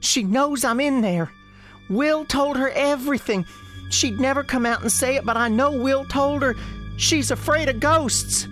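A woman's voice: sits high, measured pace, a bit bright, faint music behind. A woman playing a frightened ghost girl, an imaginary friend, in a radio drama, pleading with breathless urgency. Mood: anguished.

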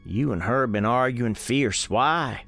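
Slow, folksy Southern storyteller. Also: male voice, mid-range voice, expressive, creaky, fairly bright tone, soft background music, full character voice. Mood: pressing. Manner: measured, ominous storytelling. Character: folksy, grave storekeeper narrating an eerie ghost tale. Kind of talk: scripted dramatic narration, radio drama.

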